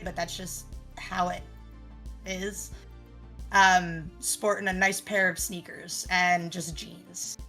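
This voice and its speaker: A woman playing a tabletop game, casually improvising a character description, halting as she searches for words. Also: fairly low pitch, pushed and bright. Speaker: tabletop roleplaying player.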